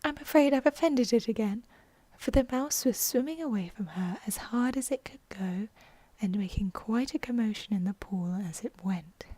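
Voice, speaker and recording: A woman narrating a storybook in a soft, breathy ASMR bedtime style, close to the microphone. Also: mid-range voice, moderate pace, no room sound. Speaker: audiobook narrator.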